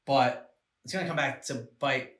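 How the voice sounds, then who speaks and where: A man co-hosting a reaction show, chatting casually about game scenes and haltingly thinking aloud. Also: mid-range voice, fairly bright tone, far from the mic. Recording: echoey room, off the mic.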